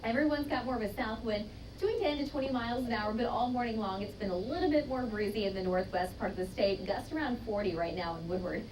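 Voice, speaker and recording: A quick-paced American woman delivers a live TV weather forecast in an average-pitched voice. She sounds a little far from the mic, with clear noise behind her. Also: darkish tone. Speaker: TV weather presenter. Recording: clearly noisy, distant broadcast control-room feed.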